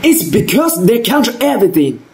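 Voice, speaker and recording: A woman playing a bitter, ironic male detective turned killer in an amateur radio drama, with a deliberate, theatrical delivery. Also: mid-range voice, very animated, darkish tone, faint music behind. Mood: mocking, defiant.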